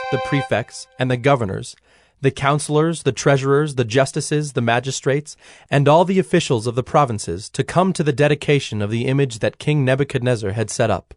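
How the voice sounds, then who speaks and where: An actor serving as a solemn storyteller, narrating ancient scripture in a measured, formal way. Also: male voice, mid-range voice, close to an everyday voice. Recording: music under the voice.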